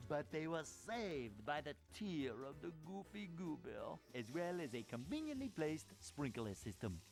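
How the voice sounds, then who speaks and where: A pompous cartoon storyteller narrating like a melodramatic storybook. His pitch rises and falls theatrically over a music bed. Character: grandiose, theatrical male storyteller, fussily dramatic. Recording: music behind the voice.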